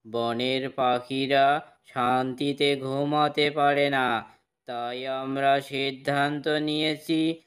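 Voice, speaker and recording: Firm male bird elder-statesman type for a cartoon man performer, crisp resonant voice, even, slow, stern. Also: mid-range voice. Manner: stern, measured. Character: firm male bird delivering the community's verdict. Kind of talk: cartoon character dialogue.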